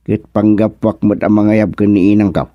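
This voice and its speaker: An actor playing an easygoing older village husband in a comic radio serial, drawling and chuckling with lots of grunts. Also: male voice, lowish voice, little pitch movement, moderate pace, no room sound, dull audio, natural voice. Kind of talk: comic radio drama acting.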